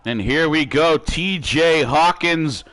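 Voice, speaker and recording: A man calling wrestling play-by-play, excitable and raising his voice over the action. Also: on the high side, takes it slowly. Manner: excitable, calls action with shouting bursts. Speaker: play-by-play commentator. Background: music.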